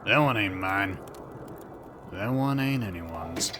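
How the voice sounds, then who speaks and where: Low, gruff grasping father played by a man. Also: expressive, slow, pushed and bright, a little hiss or hum, full character voice. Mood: dismissive, haggling. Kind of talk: animated fantasy visual novel voice acting.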